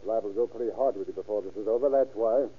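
An actor playing a hard-nosed, impatient sheriff questioning people in an old-time radio crime drama. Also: male voice, lowish voice, quick pace, husky. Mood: pressing. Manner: blunt, pressing, folksy. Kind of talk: 1930s-1940s radio acting. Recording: scratchy, tinny old radio recording.

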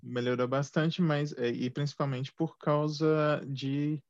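A man's voice: a little high, level tone, measured pace, dry recording. A man chatting casually and a little hesitantly, over muffled online call audio. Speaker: guest, immigrant living in Canada. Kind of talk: casual conversational interview.

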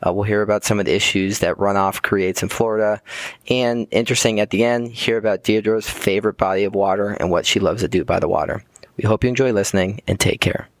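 Low-voiced male podcast host, dry sound. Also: steady tone, a little fast, dry recording. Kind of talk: podcast interview.

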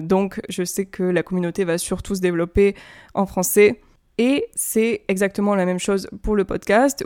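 A woman's voice: mid-range voice, a little mellow, clear, resonant tone, no echo, clean studio sound. A French woman hosting her own podcast, talking casually and explaining things with lots of fillers and restarts. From France. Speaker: podcast host and YouTuber. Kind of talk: casual solo podcast talk.

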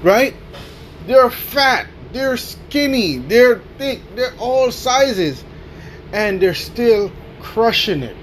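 A man's voice: extremely high pitch, very animated, drawn-out words, fairly bright tone, slight background noise. A man hosting his own podcast, giving an emphatic motivational monologue, repeating key phrases and checking in with "right?". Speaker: podcast host.

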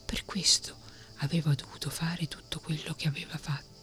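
Woman, whispered storytelling, low pitch, faint background music. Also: flat delivery, moderate pace, a bit bright. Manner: whispering throughout. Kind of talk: whispered fairy-tale reading, ASMR.

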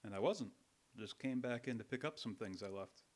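An actor playing an easygoing, teasing office colleague in an old-time radio drama, with a casual, offhand manner. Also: male voice, medium pitch, expressive, fairly quick, lightly acted.

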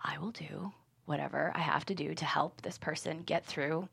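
A woman screenwriter and director giving an animated interview, chatting casually and voicing imagined quotes as she goes. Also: deep voice, moderate pace, creaky, slightly rough.

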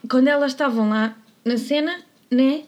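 A woman with a European Portuguese accent tells history as a casual podcast host. Her voice sits a little high. She keeps it playful and jokey, often dropping into hushed asides. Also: moderate pace. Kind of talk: casual storytelling about history.

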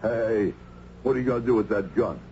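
A man's voice: fairly low pitch, brisk, natural voice. A male actor playing an innocent, baffled ordinary man under threat, with plain, hesitant speech in a radio drama. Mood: confused, alarmed. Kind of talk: 1970s radio drama acting. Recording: dull old radio broadcast, faint noise.

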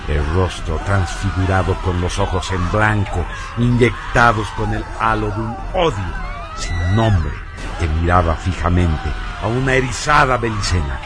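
A man narrating a radio drama from a script in a solemn, deliberate, theatrical way. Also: low voice.

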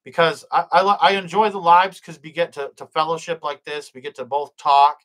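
A man's voice: a little high, steady tone, a little fast, a bit bright, rough recording. A middle-aged man hosting a live stream, reading the chat aloud, blessing viewers and praying, with plenty of fillers and restarts. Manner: hesitant, many fillers and restarts.

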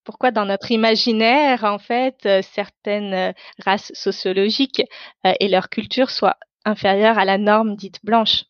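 A woman's voice: mid-range voice, fairly even intonation, unhurried, no room sound, dull audio. A woman whose first language is Tamil, reflecting on her own life in an unscripted interview, searching for her words as she goes. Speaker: interview guest.

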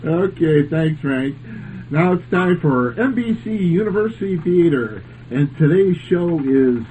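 A man's voice: a little high, a little mellow, rough recording. A man hosting a hobby club radio show, reading his introductions informally. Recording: faint background noise.

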